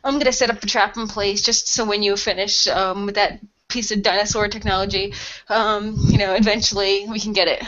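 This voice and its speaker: Sarcastic woman with a bright, sharp voice. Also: medium pitch, fairly even intonation, a little fast, highs cut off. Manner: sarcastic, slangy. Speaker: role-play player. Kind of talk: casual improvised in-character banter.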